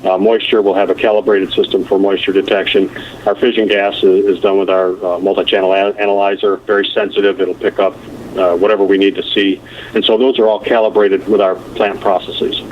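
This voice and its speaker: A man with a slightly low voice presents technical material on a conference call. He explains in a halting way, like an engineer walking through a plan. The line is muffled, with faint noise. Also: little pitch movement. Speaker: nuclear plant engineer presenting. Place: conference call over the phone.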